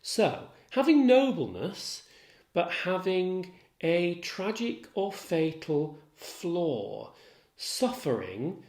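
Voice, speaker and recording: Slow, deliberate male tutor in echoey room. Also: fairly high pitch, darkish tone. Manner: deliberate, formal, precise wording. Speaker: English literature tutor. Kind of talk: explanatory lecture with asides. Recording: echoey, roomy sound.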